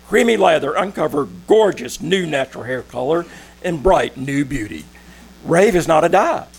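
Man as a gravelly vintage radio pitchman. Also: exaggerated voice. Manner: emphatic, measured sales patter. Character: persuasive old-time radio pitchman. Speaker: commercial announcer. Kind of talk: 1940s radio commercial.

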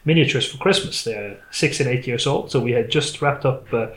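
A man's voice: mid-range voice, moderate pace, a bit bright, echoey room. A Norwegian man from the west coast, a podcast guest chatting in English with a Norwegian accent, hesitant with many fillers. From west coast of Norway. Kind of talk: casual chat over a call.

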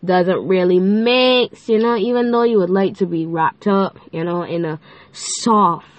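A woman's voice: medium pitch, measured pace, no echo, lo-fi sound. A teenage girl with a lilt chats away on her podcast, bubbly and playful, full of fillers and giggly asides. Her voice sounds stuffy and nasal from a cold. She is recorded close up in a dry, dead space. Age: teens. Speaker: podcast host. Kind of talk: casual faith podcast chat.

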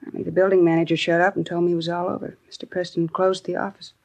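An actress playing a disgruntled young secretary in a 1950s radio crime drama, talking in casual, complaining, clipped phrases. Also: female voice, on the low side, little pitch movement, racing, vocal fry, a little mellow, highs cut off. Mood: aggrieved. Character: disgruntled young secretary, talkative, put-upon, street-wise.

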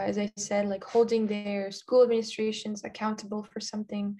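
A young woman from Kazakhstan whose first language is Kazakh, answering interview questions casually and often pausing on "like" while searching for words. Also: mid-range voice, dark tone. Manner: chatty, many "like" fillers, searches for words. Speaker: interview guest.

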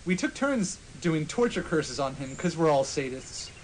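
A man's voice: on the high side, big pitch swings, a little slow, slight background noise, low-quality recording. A man narrating a comic dramatic reading of fan fiction as a podcast host, in a mock-serious manner.

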